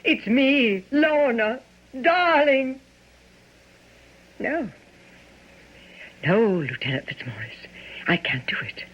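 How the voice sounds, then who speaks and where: Slow, husky, world-weary mistress. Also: female voice, fairly high pitch, big pitch swings, full character voice. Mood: bitter, mocking. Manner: theatrical, halting, drawn-out delivery. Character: world-weary, self-protective mistress, mother of teenagers. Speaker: actor. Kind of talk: radio drama acting. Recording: dull lo-fi radio broadcast.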